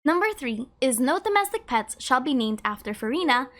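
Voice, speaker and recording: A woman's voice: high-pitched, very animated, fairly quick, fairly bright tone. A woman hosting a video, narrating scripted trivia with playful, chatty asides. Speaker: host of a game trivia video.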